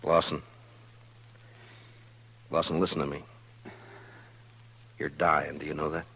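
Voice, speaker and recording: Western marshal, deep and forceful, terse, weary authority, faintly noisy old radio drama. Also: male voice, level tone, slow pace, creaky, breathy edge, exaggerated voice. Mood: stern. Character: stern, weary, steady frontier lawman. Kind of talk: 1950s radio western acting. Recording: noisy vintage 1950s radio recording.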